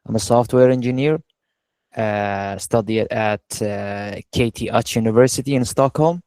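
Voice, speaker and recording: A man from Syria giving an informal, plain-spoken webinar talk as a guest software engineer. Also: fairly low pitch, unhurried, clear, resonant tone, no room sound, studio quality. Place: online video call.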